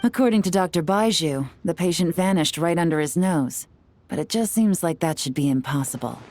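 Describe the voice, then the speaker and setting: Casting: actress for composed female investigator in a game, lower register, measured, probing, self-assured. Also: darkish tone, no room sound, close to an everyday voice. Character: cool, composed, shrewd adult female investigator. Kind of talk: video game voice acting.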